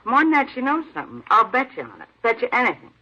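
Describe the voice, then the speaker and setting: An actress playing an opinionated, slightly defensive store employee in a 1950s radio drama, talking in an emphatic, colloquial way. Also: female voice, on the high side, wildly swooping pitch, fast talker, sharp tone, heavily acted. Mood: suspicious, insistent. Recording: dull old-time radio recording.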